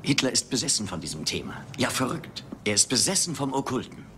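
An actor playing a courteous, probing intelligence official in a dubbed film, formal in manner. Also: male voice, medium pitch, big pitch swings, a little fast, fairly bright tone, a little hiss or hum. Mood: earnest. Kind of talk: German film dubbing.

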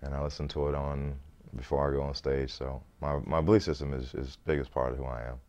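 Very deep-voiced easygoing man. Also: little pitch movement, quick pace, a little mellow. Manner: easygoing, laughs often, repeats words as he thinks. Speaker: comedian, interview guest. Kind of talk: relaxed interview chat. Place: small indoor studio set.

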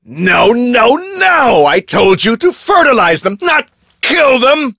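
An actor playing an arrogant, irritable research scientist in a video game, with pompous, formal and brusque speech. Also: male voice, very high-pitched, big pitch swings, takes it slowly, pushed and bright, no echo, raised voice, clean studio sound, full character voice. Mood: outraged, frantic. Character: irritable, arrogant, self-important male research scientist with explosive temper.

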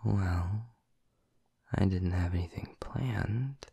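A man's voice: deep voice, slow pace, gravelly, husky, no room sound, full character voice. A man playing a flirty, whispering vampire. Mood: teasing. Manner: soft, intimate whispering; teasing and affectionate. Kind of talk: ASMR audio roleplay.

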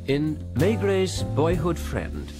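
A man's voice: fairly high pitch, wildly swooping pitch, slow pace. A man reading scripted radio drama credits as an announcer. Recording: loud plucked guitar music behind. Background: guitar music.

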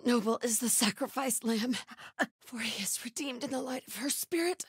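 A woman's voice: on the high side, moderate pace, husky, no echo, clean studio sound. A woman playing a fierce, devout cult fighter in a video game, delivering her lines with fanatical conviction. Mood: hushed, prayerful. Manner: urgent shouted battle calls, hushed prayerful murmurs.

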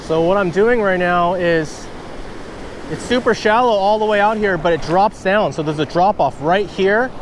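A man with a fairly high voice talks casually through his fishing technique, and he gets excited when a fish bites. He is outdoors on an ocean beach, recorded on a wearable camera, with faint surf noise behind him. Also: moderate pace. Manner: excited, bursts of stoked exclamations. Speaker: fishing vlogger. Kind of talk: casual how-to commentary while fishing. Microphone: wearable action camera, first-person view.

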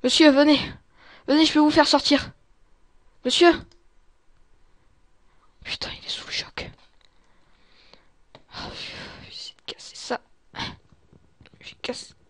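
A woman playing a desperate, tormented fourteen-year-old boy prisoner in an improvised horror game roleplay, halting, repetitive and agitated. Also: on the high side, steady tone, no room sound. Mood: hushed, tense. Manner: halting, repetitive, agitated, often dropping to whispers.

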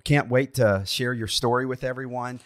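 A man's voice: medium pitch, steady tone, moderate pace, no room sound, clean studio sound. A man hosting a faith podcast, introducing and welcoming his guest. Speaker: podcast host. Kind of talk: interview hosting.

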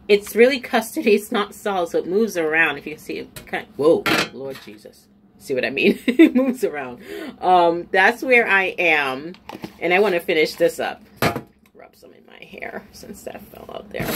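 A woman in her forties, a beauty vlogger talking to camera at home, chatty and a little stuffy and nasal, dropping into low asides. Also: pushed and bright, slight background noise. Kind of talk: casual chat to camera. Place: small room at home, indoors. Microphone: camera in front at close range.

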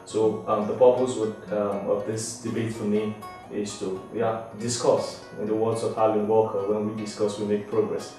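A man's voice: on the low side, flat delivery, moderate pace, dark tone, soft background music. A young man from Nigeria giving an earnest prepared statement to camera indoors. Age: young adult. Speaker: former exchange student. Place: indoor room. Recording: echoey room, mic at a distance.

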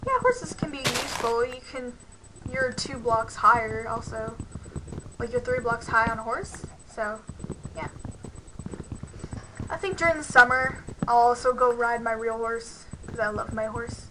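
A woman's voice: fairly high pitch, unhurried, noisy background. A young woman host chatting casually through a game, rambling with lots of "like", her voice worn from losing it, on a headset mic at her computer. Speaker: gaming channel host. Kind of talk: casual let's-play commentary.

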